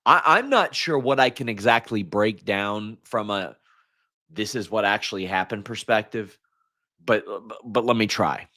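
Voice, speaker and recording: A man hosting a solo sports talk stream, hoarse and frustrated, venting like a fan and often restarting his sentences. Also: lowish voice, unhurried, pushed and bright. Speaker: host.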